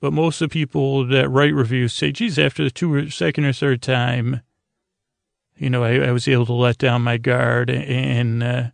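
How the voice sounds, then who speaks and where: A man hosting a bedtime podcast in a rambling, halting, goofy monologue, repeating and stammering as he drifts along, with a creaky, gravelly voice. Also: mid-range voice, level tone, no room sound. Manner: goofy, oddball. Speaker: podcast host.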